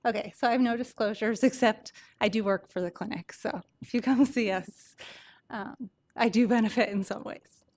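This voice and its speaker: A soft-voiced woman doctor gives an informal medical presentation to an audience, explaining things conversationally. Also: on the high side, moderate pace. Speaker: palliative care doctor presenting.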